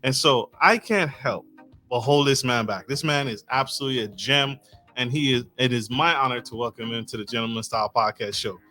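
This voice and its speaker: Effusive male host, bright and forceful, faint music bed. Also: medium pitch, brisk. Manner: effusive, enthusiastic, stumbles over names. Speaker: podcast host. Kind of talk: podcast interview.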